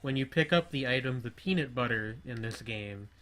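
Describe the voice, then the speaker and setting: A man's voice: mid-range voice, normal speed, a bit bright, poor audio. A man co-hosting a gaming livestream, bantering casually as he plays. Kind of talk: casual banter during gameplay.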